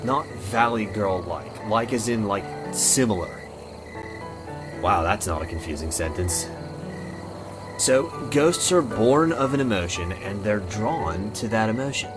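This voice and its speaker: A man's voice: on the low side, expressive. A man voicing a friendly, awkward young ghost in a visual novel, rambling and correcting himself in an earnest way. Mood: awkward, explaining. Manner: halting, rambling, self-correcting. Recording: music and night insects behind. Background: music, crickets and frogs.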